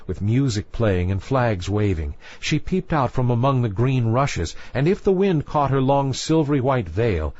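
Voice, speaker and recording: A man's voice: mid-range voice, a little mellow, muffled recording. A man reading a scripted bedtime fairy tale as a storyteller.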